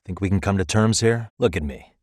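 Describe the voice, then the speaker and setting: A man's voice: low voice, brisk, dry recording, natural voice. A male actor with an American accent playing a wry, easygoing private detective in a video game, talking in a dry, casual, persuasive way. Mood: calm, negotiating. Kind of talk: scripted game dialogue, conversational.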